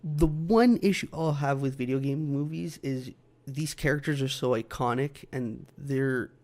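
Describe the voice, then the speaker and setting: A man with a mid-pitched voice speaks as a podcast co-host. He chats informally and argues his points as he goes, in an everyday conversational way. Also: a little slow, darkish tone. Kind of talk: casual chat discussing video game movies.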